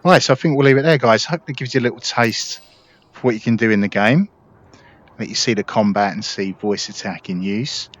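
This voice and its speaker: A man's voice: on the low side, brisk, highs cut off. A man hosting a gameplay video, explaining things informally in casual commentary. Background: spaceship game sounds.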